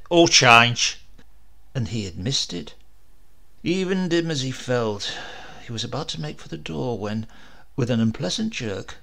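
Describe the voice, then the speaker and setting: A man's voice: mid-range voice, gravelly, a bit bright. A man giving a dramatic narration of a horror story as an audiobook reader.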